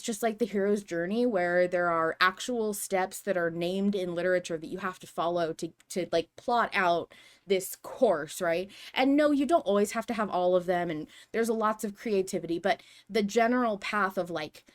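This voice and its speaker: Woman, podcast host, casual fan chat, medium pitch, animated, emphatic, rambling.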